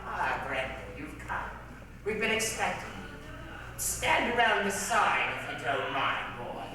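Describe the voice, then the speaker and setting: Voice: mid-range voice, animated, unhurried, a crowd in the background, natural voice. A performer in a readers' theatre playing an ancient, imperious male dragon, world-weary, mocking and condescending. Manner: condescending, dismissive, dramatically emphatic. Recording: echoey hall from far off, faint noise.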